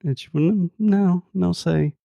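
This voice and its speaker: American guy speaking learner portuguese, super gravelly and dark, slow, fillers everywhere, dry mic. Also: high voice, dry recording, highs cut off. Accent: American English. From United States. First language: English. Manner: slow, searching for words, says "tipo" often. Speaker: podcast co-host. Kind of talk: casual conversational chat.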